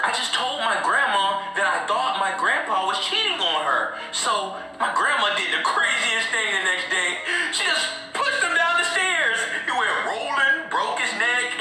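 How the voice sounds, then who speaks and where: A man's voice: high-pitched, sing-song, unhurried, sharp tone, faint music behind, full character voice. A man voicing a laid-back young turtle boy in a comedy puppet skit, a slangy, mischievous buddy egging his friend on. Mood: matter-of-fact. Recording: very echoey room, screen-recorded video playback.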